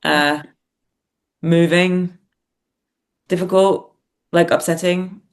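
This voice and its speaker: A woman's voice: on the low side, fairly even intonation, drawn-out words. A woman reflecting as an interview guest, speaking hesitantly and often searching for words. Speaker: podcast guest, theatre writer and performer.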